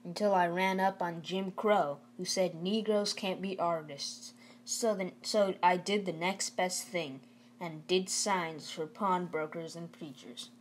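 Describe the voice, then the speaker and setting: A woman's voice: on the low side, fairly even intonation, a little slow, slight background noise, lightly acted. A woman reading aloud the part of a stern, plain-spoken father who lectures his son in a firm, folksy way. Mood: reflective. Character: stern, plain-spoken Southern father, a working man urging schooling.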